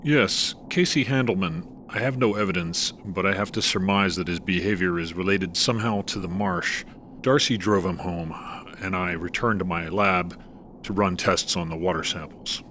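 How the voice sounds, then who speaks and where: An actor playing a careful male scientist who speaks in a measured, clinical way in an audio drama. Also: low voice, fairly bright tone, slight background noise. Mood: guarded. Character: cool, guarded male scientist studying the marsh.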